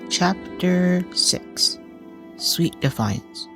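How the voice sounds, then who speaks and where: Slow, deliberate woman narrating fiction. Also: fairly low pitch, expressive, music in the background. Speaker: author and narrator. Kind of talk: scripted audio drama narration.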